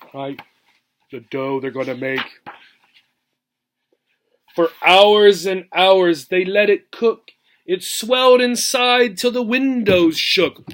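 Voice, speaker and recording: A man's voice: sits high, bright, forceful tone. A man reading a rhyming storybook aloud as a teacher, adding simple explanations and checking that learners follow. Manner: checks understanding with "right?".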